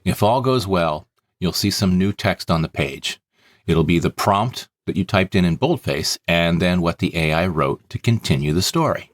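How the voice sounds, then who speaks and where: A man's voice: sits low, expressive, normal speed, dry recording, clean studio sound. A man hosting a podcast, talking conversationally and explaining things plainly, step by step. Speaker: podcast host and story producer.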